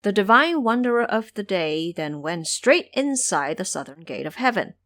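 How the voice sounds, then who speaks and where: A woman narrating an audio drama as an expressive, scripted storyteller. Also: mid-range voice, normal speed, no echo, studio quality.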